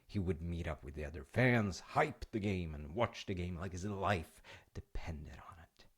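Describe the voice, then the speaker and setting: A man acts out a kind, reassuring dad talking to his child in an intimate audio roleplay. His voice is deep and creaky, and he takes his time. The delivery is soothing and a little goofy, with hesitant, everyday phrasing. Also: unhurried, heavily acted. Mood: fond storytelling. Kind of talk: intimate roleplay monologue with storytelling.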